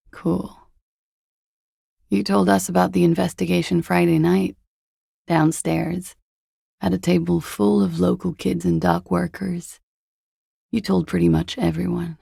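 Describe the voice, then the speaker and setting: A woman playing a cool, evasive young former beauty queen in a video game, speaking in a languid, dry, sardonic way with a husky, breathy voice. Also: lowish voice, steady tone, a little slow, long pauses, warm tone, no echo, full character voice. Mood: coolly sardonic. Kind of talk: video game dialogue, low-key and naturalistic.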